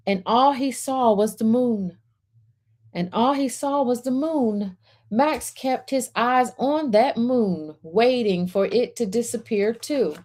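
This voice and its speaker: Woman, storytime host and reader. Soft-edged mellow tone, unhurried pace, measured phrases with pauses. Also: on the high side. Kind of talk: gentle guided centering and picture book read-aloud.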